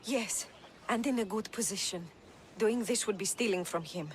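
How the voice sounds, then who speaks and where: A woman's voice: medium pitch, normal speed, noisy background, natural voice. An actress playing an anxious, reluctant enslaved shop woman of ancient Greece in a video game. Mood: wary, pleading. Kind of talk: video game cutscene acting.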